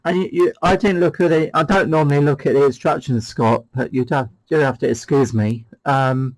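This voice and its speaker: A man's voice: medium pitch, steady tone, moderate pace, low-quality recording. A British man hosting a CB radio demo, chatting casually in a hesitant, rambling way. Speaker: CB radio hobbyist and channel host.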